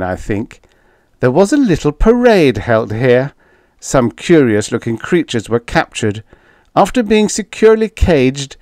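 A man's voice: medium pitch, a little mellow, no echo, clean studio sound, voice pushed up. A man playing a tough, sarcastic diplomat in an audiobook, pressing and snapping with blunt accusations. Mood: icy sarcasm. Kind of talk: audiobook character dialogue.

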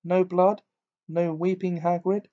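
A man voicing a dreamy, eccentric older woman who teaches divination, with a high, breathy, fussing manner. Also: level tone, slow pace, mellow. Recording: dull lo-fi recording.

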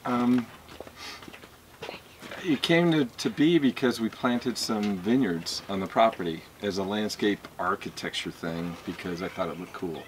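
A middle-aged man, a winemaker, tells his story in a relaxed, meandering way on an outdoor vineyard patio, picked up by a camera mic a few metres off. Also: lowish voice, unhurried, slight background noise, rough recording. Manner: easygoing, meandering, with fillers and laughs.

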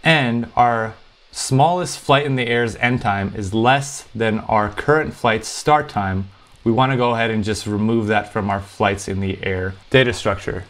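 A man's voice: on the low side, a little slow, fairly bright tone. A man hosting a programming tutorial, explaining step by step in a conversational way.

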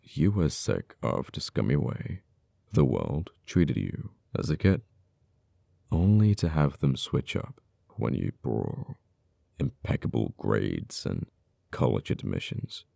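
A man playing an uptight, wounded but earnest government official in an ASMR audio roleplay, speaking haltingly with stammers. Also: very deep voice, very animated, creaky, mellow, no echo, clean studio sound, heavily acted. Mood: bitter, somber. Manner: halting, hesitant delivery with stammers. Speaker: voice actor.

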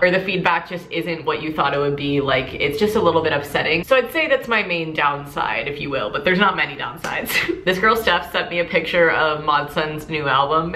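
This is a young woman from Georgia talking to her viewers in a casual question-and-answer vlog. Her voice is bright and sits a little low, and her delivery is bubbly and chatty. The sound carries some room echo from her small bedroom. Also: slight background noise. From Georgia, USA. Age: young adult. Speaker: YouTuber. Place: small bedroom, seated in a hanging chair.

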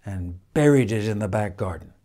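An older man reads a children's picture book expressively, with measured phrasing and dramatic pauses. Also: on the low side, expressive, warm tone. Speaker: storyteller reading a picture book. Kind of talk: expressive children's storybook reading. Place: indoors, room in a house with stone wall.

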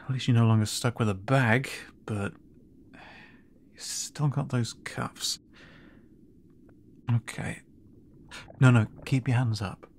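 A man playing a gentle, concerned merfolk rescuer, hesitant and reassuring, in an audio roleplay. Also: mid-range voice, fairly even intonation, slow, long pauses. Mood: focused, gentle. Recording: noisy ambience behind the voice.

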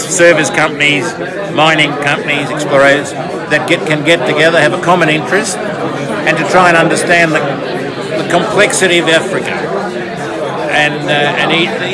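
An older man with a mid-range voice speaks reflectively and repeats his words as he goes. He is recorded close on a phone in a large room. Loud crowd chatter fills the space. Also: a little high. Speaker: mining industry businessman. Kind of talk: casual vox pop interview. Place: large indoor function room at a networking event. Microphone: phone held close, selfie framing.

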